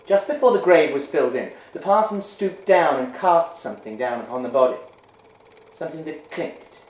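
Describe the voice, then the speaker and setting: A man giving a measured, hushed dramatic reading of a ghost story. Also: on the high side, animated. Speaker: reader. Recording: roomy echo.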